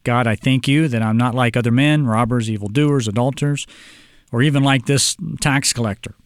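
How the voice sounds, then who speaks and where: Man from Oklahoma, host, measured delivery, scripture reading, middle pitch, dry sound. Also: dry recording. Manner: measured, reads scripture aloud. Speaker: podcast host, Bible teacher. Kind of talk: Bible study discussion.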